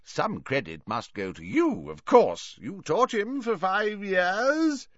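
A man voicing a jovial, tipsy older male professor in an audiobook, booming and effusive. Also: very animated, slow, dry recording, lo-fi sound, heavily acted.

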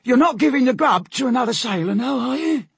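A grumbling ship's cook from a storybook, pitched far up and husky. He sounds loud, touchy and hard done by. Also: lively intonation, fairly quick, no echo, full character voice. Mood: suspicious, aggrieved. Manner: suspicious, aggrieved, loud. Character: gruff, touchy sailor cook who scolds boys.

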